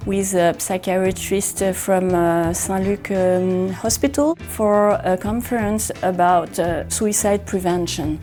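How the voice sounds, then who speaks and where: A woman's voice: medium pitch, steady tone, slow pace, hardly any pauses, music in the background. A woman from France with a French accent, a native French speaker introducing herself in an interview. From Grenoble, France. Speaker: interviewee, pharmacist and writer. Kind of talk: first-person interview.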